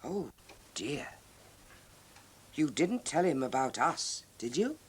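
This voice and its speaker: A man's voice: mid-range voice, big pitch swings, takes it slowly, husky, exaggerated voice. A man voicing a small, anxious, secretive moon creature, fretful and pleading, in a children's storybook reading. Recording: hissy 1970s TV soundtrack.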